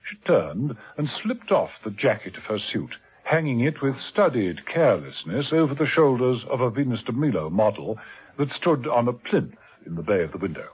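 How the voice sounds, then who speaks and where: A male narrator who tells the story in a measured, vivid way. His voice is mid-pitched and very expressive. It comes through a thin, phone-like vintage radio sound. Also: slightly rough. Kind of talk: scripted dramatic narration. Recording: tinny old radio broadcast.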